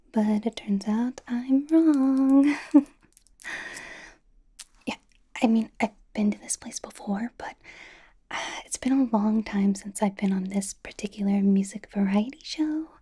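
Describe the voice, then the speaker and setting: A woman playing a bubbly, nervous, supportive young pop idol in a breathy, whispered ASMR roleplay, rambling and reassuring as she goes. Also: fairly high pitch, a little slow, long pauses, mellow. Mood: apologetic, hesitant. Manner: rambling, giggly, reassuring, hesitant.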